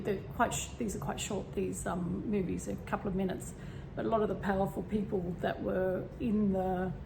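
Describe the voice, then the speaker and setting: A woman with a slightly croaky voice talks in a loose, meandering way, often pausing on fillers and clearing her throat. Her pitch sits in a middle range for a woman. A fan hums plainly in the background of her home recording. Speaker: spiritual vlogger. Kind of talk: casual spiritual talk. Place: home room with a fan running. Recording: steady fan hum behind. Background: fan noise.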